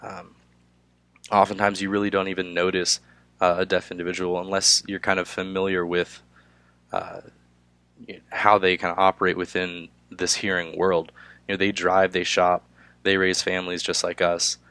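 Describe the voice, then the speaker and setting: Young male student, hoarse with a cold, very low voice, halting delivery, dry room. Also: completely flat delivery, bright, forceful tone, dry recording. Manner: halting, many filler "uh"s. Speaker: student presenter. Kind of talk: class presentation.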